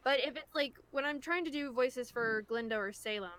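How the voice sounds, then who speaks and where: Higher-pitched woman chatting casually. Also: fairly quick, fairly bright tone. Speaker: voice actor on a web series livestream. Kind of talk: casual group chat.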